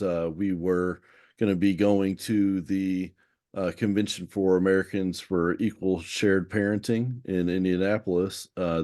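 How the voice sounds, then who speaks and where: A man's voice: deep voice, warm tone. A man hosting a casual podcast interview, introducing his guest and asking easygoing questions. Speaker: podcast host.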